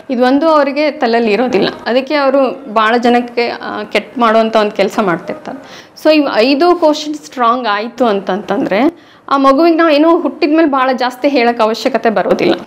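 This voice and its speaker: A woman with a North Karnataka Kannada accent, explaining prenatal care patiently as an interview guest. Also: on the high side. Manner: patient, teacherly, mixing in English words.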